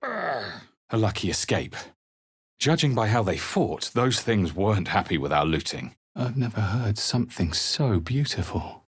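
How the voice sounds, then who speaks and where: A low, slightly husky male adventurer for a fantasy game. He is sober and pragmatic, delivering short remarks with dry, measured understatement. The sound is close and dry, with little room around it. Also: sing-song, no echo, heavily acted. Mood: dry, observant. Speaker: video game player character. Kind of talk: video game voice lines.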